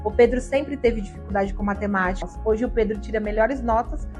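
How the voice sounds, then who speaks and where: Fast-talking, higher-pitched Brazilian woman. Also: darkish tone, faint music behind. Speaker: parent giving testimonial. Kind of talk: promotional testimonial.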